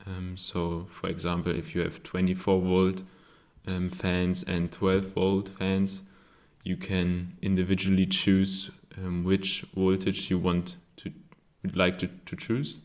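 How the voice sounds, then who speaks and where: German man, non-native English, unhurried maker explaining his board, stop-start delivery. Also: low voice, completely flat delivery. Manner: hesitant, many ums and self-corrections. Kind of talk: casual show-and-tell.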